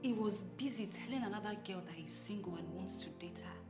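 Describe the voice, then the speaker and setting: A woman's voice: sits high, very animated, fairly quick. A woman acting an aggrieved, fed-up wife in a melodramatic film, with a Nigerian accent and emphatic, repetitive questioning. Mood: despairing. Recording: roomy echo, music underneath.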